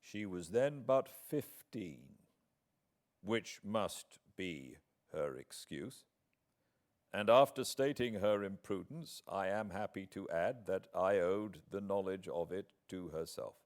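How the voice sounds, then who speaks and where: Low-voiced, grave British gentleman, read by a man. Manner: formal, measured, grave, deliberate phrasing. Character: proud, wronged English gentleman writing a formal, earnest letter. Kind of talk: classic novel audiobook reading.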